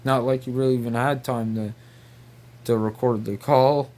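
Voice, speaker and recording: A man rambling casually in a halting, repetitive, incredulous way. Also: mid-range voice, steady tone, a little mellow. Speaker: video creator.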